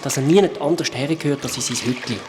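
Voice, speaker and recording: A man's voice: medium pitch, fast talker, music in the background, close to an everyday voice. A man with a Swiss German accent playing a friendly shape-shifting creature from another world who patiently explains things in a children's radio play. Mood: kind, explaining.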